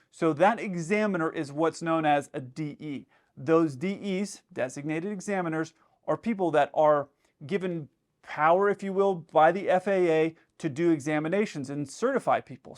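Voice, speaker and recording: A man who is a pilot and host explains things in casual commentary, conversationally acting out imagined dialogue as he goes. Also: fairly high pitch.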